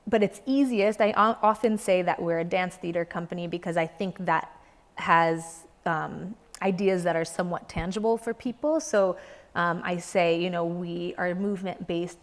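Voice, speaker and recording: Earnest woman in a reverberant hall. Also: fairly low pitch. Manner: earnest, searching for words. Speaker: dance company artistic director. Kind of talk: reflective interview talk.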